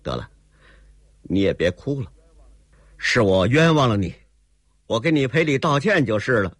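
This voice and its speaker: A male storyteller voicing a proud, quick-tempered young gentleman who talks brusquely. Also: medium pitch, very animated, no echo. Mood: scoffing. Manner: brusque, scoffing.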